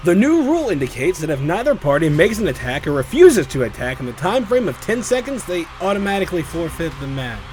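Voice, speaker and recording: A man playing an excitable, theatrical and scatterbrained tournament announcer in an animated drama. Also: fairly high pitch, a little fast, few pauses, soft background music. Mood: flustered, then official. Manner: theatrical, showy.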